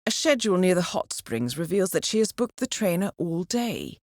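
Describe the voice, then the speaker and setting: An actress playing a cool, composed intelligence handler in a video game, briefing an assassin in a measured, dryly ironic way. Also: female voice, lowish voice, very animated, a little mellow, dry recording, clean studio sound. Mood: dry, wry. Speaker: mission handler.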